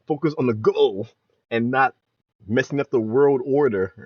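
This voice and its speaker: A young man giving casual, slangy reaction commentary, playful and expressive, close to the microphone. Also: mid-range voice, unhurried, lo-fi sound, a little hiss or hum. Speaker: reaction video host.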